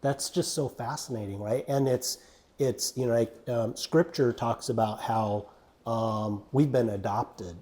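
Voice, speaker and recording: A man's voice: mid-range voice, unhurried, mellow. A man hosting a casual faith interview, chatty and affirming, with plenty of fillers. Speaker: podcast host.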